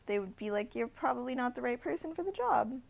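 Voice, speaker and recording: A young woman from India co-hosting a podcast, telling a story in a casual, animated way with lots of "like" fillers. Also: fairly high pitch, brisk, creaky. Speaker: podcast co-host. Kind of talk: casual chat.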